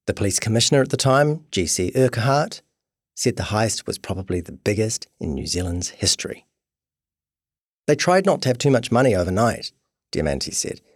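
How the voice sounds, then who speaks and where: Low, husky male narrator in a roomy space. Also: clean studio sound. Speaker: narrator of a true crime podcast. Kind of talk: scripted documentary narration.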